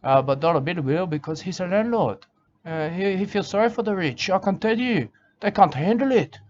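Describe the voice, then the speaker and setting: A man's voice: high voice, animated, normal speed, a little mellow, a little hiss or hum. Rambling Australian man, lo-fi recording. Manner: rambling, repetitive, broken grammar, keeps saying "mate". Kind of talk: rambling comic monologue. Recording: dull lo-fi recording with faint ambience.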